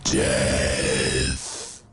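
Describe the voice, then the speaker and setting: A man's voice: very slow, a bit bright, a little hiss or hum. A man playing an ancient, cold, menacing undead machine lord in a video game, solemn and commanding. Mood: cold menace. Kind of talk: video game villain acting.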